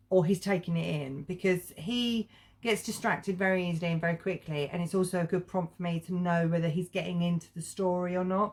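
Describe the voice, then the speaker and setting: A middle-aged woman giving a casual, chatty review to viewers in an informal, rambling, conversational way. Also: lowish voice. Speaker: children's book reviewer and vlogger.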